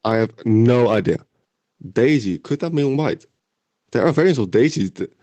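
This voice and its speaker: A man plays an excitable young wizard student with a mellow, medium-pitched voice and lively, swinging intonation. It is amateur audio drama acting, recorded dry. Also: normal speed, no room sound, close to an everyday voice. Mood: pondering. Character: excitable teenage wizard schoolboy fond of flowers.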